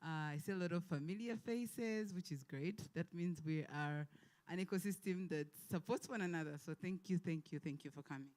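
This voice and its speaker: A woman's voice: lowish voice, normal speed, darkish tone. A woman speaking as an event host, giving an informal, polite and somewhat hesitant welcome speech.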